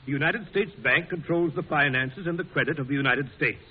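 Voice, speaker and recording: An actor playing a courteous, wry soldier president in an old-time radio drama, speaking in a measured, folksy way. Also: male voice, a little high. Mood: earnest. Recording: muffled, rough old radio recording.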